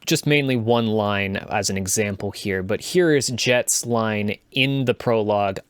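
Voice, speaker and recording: A man presenting a casual tutorial as a game sound designer, explaining things in a measured way. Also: lowish voice, clean tone, dry recording.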